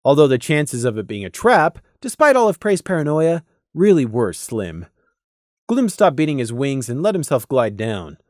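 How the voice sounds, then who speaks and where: Expressive, dry-recorded male storyteller. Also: medium pitch, moderate pace, no room sound. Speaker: storyteller, audiobook narrator. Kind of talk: scripted narration of a fantasy story.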